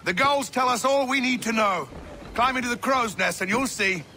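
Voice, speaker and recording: A man's voice: very high-pitched, normal speed, bright, forceful tone, slight background noise, exaggerated voice. An actor playing a male ship's officer in a game, calling out commanding orders.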